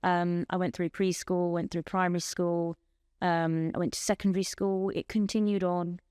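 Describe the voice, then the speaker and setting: Bangladeshi-born woman in her thirties, Bengali her first language, recounting her life as a podcast guest. She speaks in an earnest, measured way, running through long lists, with a lowish voice. Close mic and dry sound. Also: level tone, darkish tone, clean tone, dry recording. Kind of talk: personal testimony in an interview.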